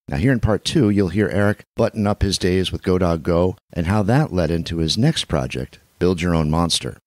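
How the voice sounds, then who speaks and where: Deep-voiced male podcast host. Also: normal speed, a little mellow, no echo. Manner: smooth radio-announcer delivery. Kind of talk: scripted podcast intro and interview questions.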